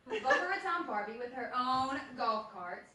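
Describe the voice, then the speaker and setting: A woman's voice: sits high, lively intonation, moderate pace, pushed and bright. A woman playing a prim seven-year-old girl who acts like an old lady, reading a letter in a live stage radio comedy. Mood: prim, earnest. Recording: very echoey theatre, far off, faint noise.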